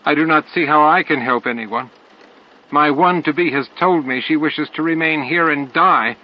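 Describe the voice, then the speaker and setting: A man's voice: fairly high pitch, moderate pace, pitched above the performer's own voice, close to an everyday voice. A man voicing a confused, anguished insectoid alien hive master in an audiobook, speaking in a formal, earnest way. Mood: bewildered, despairing. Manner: formal, earnest, bewildered speech. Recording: dull lo-fi audio, clear noise.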